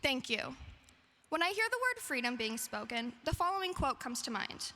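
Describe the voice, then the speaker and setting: A woman's voice: high voice, big pitch swings, normal speed, sharp tone. A young woman student giving an earnest, solemn speech at a graduation ceremony. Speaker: student speaker. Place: large hall. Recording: reverberant hall with faint noise.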